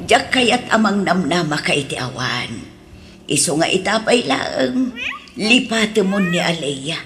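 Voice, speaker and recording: A woman playing a concerned, expressive mother in a radio drama with an Ilocano accent, on a noisy recording. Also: fairly low pitch, measured pace, exaggerated voice. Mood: frank, discouraging.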